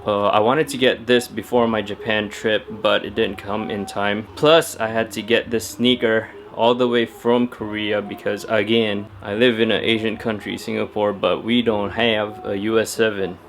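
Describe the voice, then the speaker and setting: Young man, sneaker vlog, low voice, monotone delivery, relaxed chatty fillers. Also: moderate pace, vocal fry, few pauses, a little hiss or hum. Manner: laid-back, chatty, with frequent "uh" fillers. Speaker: sneaker vlogger. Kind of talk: casual talk to camera. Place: at home indoors.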